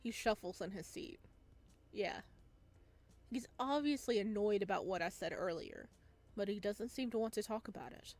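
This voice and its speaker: A woman hosts a gameplay session, reading a visual novel aloud. Her voice sits at a middle pitch. She moves between the written story and off-the-cuff asides. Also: animated, normal speed, pauses often, a little mellow.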